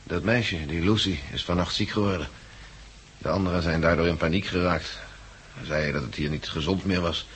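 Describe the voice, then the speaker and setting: A man's voice: deep voice, steady tone, brisk, a bit bright. An actor playing a stoic, loyal, down-to-earth male survivor in a 1960s Dutch radio drama. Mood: sober, matter-of-fact. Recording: noisy 1969 radio drama recording.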